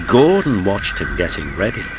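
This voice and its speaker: A man's voice: low-pitched, sing-song, normal speed, background noise. A man narrating a children's story in a scripted storybook style.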